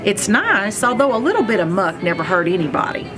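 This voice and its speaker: A woman reading a children's story aloud in an expressive, playful manner. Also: medium pitch, brisk, music in the background. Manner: expressive, playful, acts out sounds and exclamations. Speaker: read-aloud reader, school superintendent.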